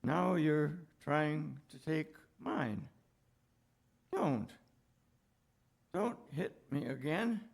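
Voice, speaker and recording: A man's voice: fairly high pitch, sing-song, gravelly, husky, heavily acted. A man playing a delirious, beaten beggar in a 1930s radio drama, stammering and pleading in fear. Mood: terrified, pleading. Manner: halting, stammering, gasping pleas.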